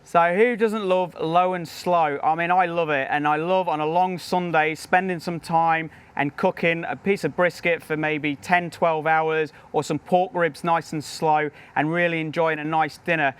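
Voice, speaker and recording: Upbeat British chef with a higher voice. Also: male voice, steady tone, a little slow, few pauses, clear, resonant tone. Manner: upbeat, chatty, demonstrating as he cooks. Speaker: professional chef. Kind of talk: cooking demonstration.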